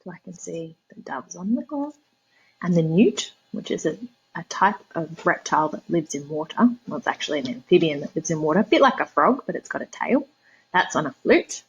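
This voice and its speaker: A female primary teacher with an Australian accent reads a picture book aloud. She pauses to explain words and invite guesses from young listeners. Her pitch is very animated, with a husky touch to the tone. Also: highs cut off. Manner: prompting young listeners with questions. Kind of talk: storybook read-aloud with explanations for young children.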